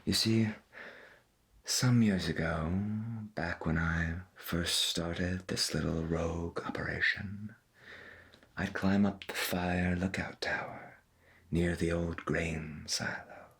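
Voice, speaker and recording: A man playing a folksy, gentle veteran pirate radio host, speaking softly and slowly with a breathy voice in an ASMR roleplay. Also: sits low, steady tone, heavily acted. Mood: calm, intimate. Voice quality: breathy, husky. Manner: slow, deliberate, folksy, half-whispered. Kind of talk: soft-spoken ASMR roleplay as 1990s AM radio.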